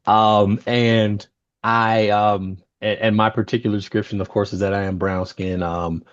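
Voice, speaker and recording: A man moderating a roundtable discussion over a video call, talking in a relaxed, chatty way with many fillers and restarts. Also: lowish voice, unhurried, no room sound, muffled recording. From United States. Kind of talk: panel discussion.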